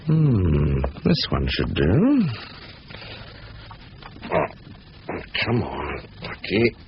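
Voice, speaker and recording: A man's voice: deep voice, huge pitch swings, extremely slow, full character voice. A man acting an offhand, businesslike crook in a 1970s radio drama. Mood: self-satisfied. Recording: dull, hissy 1970s radio recording.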